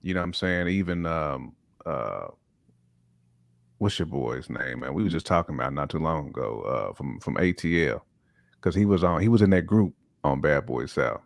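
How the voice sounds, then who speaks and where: Middle aged american dude, low deep voice, slangy hip hop panel chat. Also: male voice, expressive, normal speed, vocal fry, no room sound. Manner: slangy, informal. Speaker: talk show panelist.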